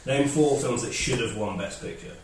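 A man's voice: medium pitch, normal speed, a little hiss or hum. A man hosting a casual film chat and giving firm opinions. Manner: leads the questions, opinionated. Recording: echoey room, distant mic.

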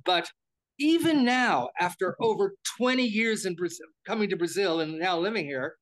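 An older American man with a high-pitched voice, chatting through an interview. He rambles, stumbling over repeated words and restarting his sentences. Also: animated, normal speed, a bit bright, rough recording. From United States. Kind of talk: casual interview talk.

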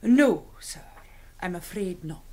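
A woman's voice: fairly low pitch, animated, takes it slowly, warm tone. A woman playing a homely, deferential Scottish housekeeper in a radio drama, polite and plain-spoken. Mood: matter-of-fact.

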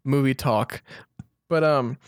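A man talks in an informal, enthusiastic way, like a fan among friends on a podcast. His voice sits at a medium pitch, and the sound is dry with very little room in it. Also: slow pace, clear, resonant tone, no room sound. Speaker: podcast co-host. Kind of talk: casual fan podcast chat.

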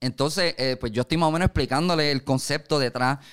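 A man's voice: on the high side, brisk, fairly bright tone, clean tone. A man with a Puerto Rican accent, a music producer being interviewed, telling stories in casual conversation. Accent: Puerto Rican Spanish. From Puerto Rico.

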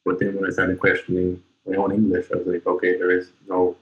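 Low voiced guy hosting a chill interview, lots of um and like, kinda muffled audio. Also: a little fast. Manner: relaxed, loose, lots of fillers. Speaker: podcast host. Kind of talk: casual interview chat.

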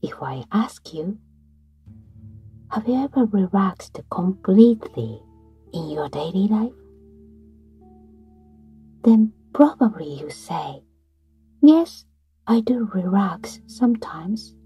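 A woman guiding a breathing meditation in a slow, soft voice that often drops to a whisper. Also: mid-range voice, expressive, breathy edge. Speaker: meditation guide. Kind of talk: guided meditation narration. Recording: soft guitar music behind.

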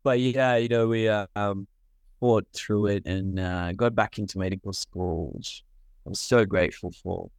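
A man with a very deep voice talks earnestly about his life, often pausing to gather his words. The sound is dry and close. He is from Sri Lanka. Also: fairly even intonation, darkish tone, no room sound. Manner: earnest, with frequent pauses and fillers. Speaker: guest, medical doctor. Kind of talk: reflective interview answers.

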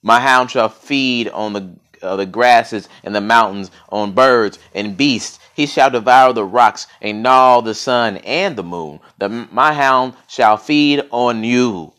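A man reading aloud and voicing a mighty male forester god who booms out stern commands. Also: slow, a bit bright. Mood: decreeing. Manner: booming, decreeing.

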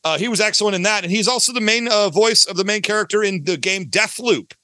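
Bright, high-voiced enthusiastic man. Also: fast, dry recording, studio quality. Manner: enthusiastic, gushing, emphatic. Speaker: podcast guest, audio drama creator. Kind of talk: casual, rambling interview chat.

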